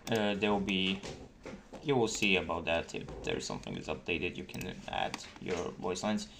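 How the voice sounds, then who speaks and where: A man giving a casual, halting tutorial as a game developer, explaining things informally. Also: low voice, very animated, gravelly. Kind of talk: casual screen-recorded tutorial. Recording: noisy desktop mic with key clicks. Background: keyboard typing and mouse clicks.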